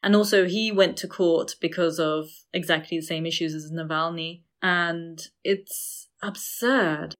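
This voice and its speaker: A woman's voice: lowish voice, steady tone, measured pace, hardly any pauses. Woman explaining things in casual discussion. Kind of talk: casual discussion of books and current affairs.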